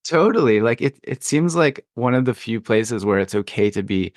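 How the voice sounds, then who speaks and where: A man's voice: mid-range voice, lively intonation, moderate pace, no echo, studio quality. A man interviewing a guest in a reflective, conversational style, with thoughtful and articulate phrasing. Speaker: podcast interviewer.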